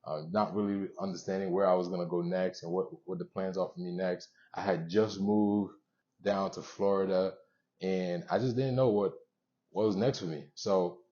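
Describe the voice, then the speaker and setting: A young man hosting a motivational show, speaking to viewers in an earnest, conversational way with pauses between short phrases, on a dull recording. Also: low-pitched, steady tone, warm tone. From born in Haiti, moved around the US. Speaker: show host.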